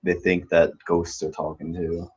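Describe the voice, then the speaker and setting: A man's voice: sits low, level tone, a little slow, breathy edge. A young man chatting casually as a player, rambling and unsure, full of "like". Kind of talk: casual chat. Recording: dull lo-fi sound.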